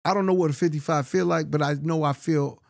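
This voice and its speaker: Gravelly-voiced man hosting a podcast. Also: a little high, fairly even intonation, fast talker, no room sound, clean studio sound. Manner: upbeat, joking banter. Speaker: podcast host. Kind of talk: casual chat. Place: podcast studio. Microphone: studio mic on boom.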